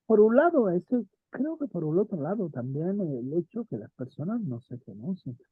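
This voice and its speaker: Casting: male teacher, husky and fairly high, discursive lecturing, thin dry phone-like audio. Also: big pitch swings, moderate pace, dry recording. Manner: thinks aloud, asks students questions, frequent "¿no?". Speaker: theater history teacher.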